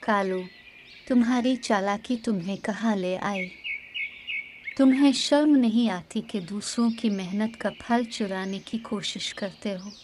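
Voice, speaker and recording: A woman's voice: medium pitch, measured pace, darkish tone, slight background noise, natural voice. A woman playing a hardworking mother sparrow in a cartoon story, scolding and moralizing but forgiving. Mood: stern scolding. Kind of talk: cartoon storybook character dialogue.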